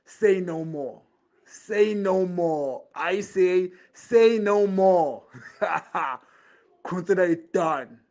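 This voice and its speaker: Man playing a slow, drawling, obsequious henchman. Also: high-pitched, expressive, darkish tone, full character voice. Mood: servile eagerness. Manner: servile, drawling, repetitive. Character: eager, obsequious male henchman obeying his boss.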